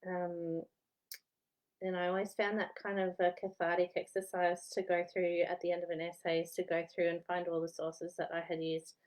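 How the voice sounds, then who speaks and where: A woman's voice: lowish voice, level tone, moderate pace, darkish tone, poor audio. Chatty Australian woman vlogging from home. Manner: chatty, rambling, laughs at herself. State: slightly losing her voice. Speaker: historian giving study tips. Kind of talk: casual chatty vlog advice.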